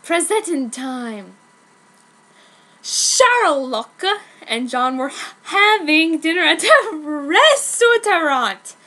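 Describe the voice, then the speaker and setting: A woman's voice: high-pitched, sing-song, drawn-out words, bright, forceful tone. A woman giving a comic dramatic reading of fan fiction in a mock-dramatic, exaggerated manner. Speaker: amateur fan fiction reader.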